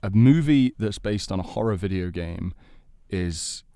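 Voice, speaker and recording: A man with a deep voice chats casually as a podcast panellist, trading views on films and games. The sound is dry, with very little room in it. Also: lively intonation, measured pace, mellow, clean tone, dry recording.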